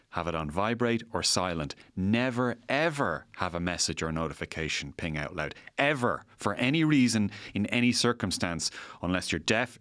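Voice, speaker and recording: A man's voice: fairly low pitch, fairly bright tone, dry recording, clean studio sound. A middle-aged Irish man hosting a podcast, arguing his opinions emphatically with an exasperated edge and repeating points for effect. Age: middle-aged, forties or fifties. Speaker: podcast host.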